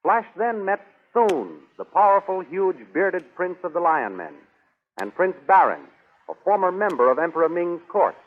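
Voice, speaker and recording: A man's voice: high-pitched, animated, moderate pace. An American man announcing and narrating in formal, dramatic 1930s radio style, with declamatory delivery. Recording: tinny 1930s radio broadcast recording.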